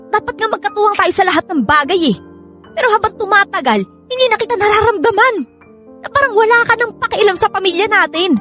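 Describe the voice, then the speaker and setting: A woman's voice: very high voice, a bit bright. A woman playing a hardworking, nagging and hurt wife and mother in a Filipino radio drama, emotional and scolding. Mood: hurt, pleading. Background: background music.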